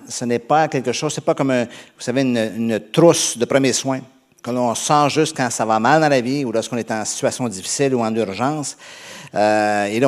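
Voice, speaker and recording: A male pastor from Canada with a Québécois accent, preaching a sermon in a conversational, halting way with frequent fillers and restarts. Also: mid-range voice. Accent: Québécois French.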